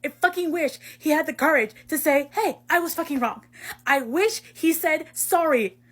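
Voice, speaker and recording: A woman playing a proud, wounded young man in an amateur audio drama, blunt, emphatic and sweary, with a husky voice. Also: on the high side, animated, normal speed. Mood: upset, frustrated.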